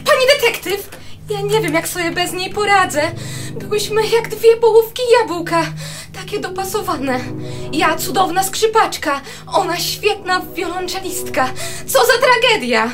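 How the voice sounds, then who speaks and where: An actress plays a vain young female musician in a radio drama, very high and lively in pitch. She gossips spitefully with theatrical haughtiness. Music plays behind her. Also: measured pace. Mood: dramatic lament.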